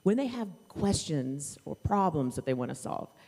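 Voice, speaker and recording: A woman talks informally to a congregation with children, as if off script. Her voice is mellow with a very animated melody, and she puts questions to her listeners. The large room leaves a long reverb around her words. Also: low-pitched, normal speed, gravelly. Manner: conversational, off-script, asks questions. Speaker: teacher leading children's time at church. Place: church sanctuary. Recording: echoey hall with long reverb.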